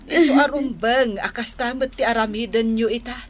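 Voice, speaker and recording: A woman's voice: on the high side, moderate pace, close to an everyday voice. A woman playing a concerned middle-aged mother in a radio drama, advising earnestly and pleadingly with a Filipino accent. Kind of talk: Ilocano radio drama acting.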